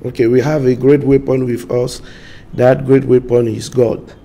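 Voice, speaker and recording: Halting gravelly man. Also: medium pitch, level tone, mellow. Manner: halting, repeats words, searches for English. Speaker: party spokesman. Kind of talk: studio interview answers.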